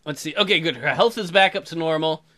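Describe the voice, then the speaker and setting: A man's voice: on the high side, quick pace, sharp tone. A man doing casual, crude, joking commentary on a visual novel game, slipping into sing-song asides. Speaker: let's player. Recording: music behind. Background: game music.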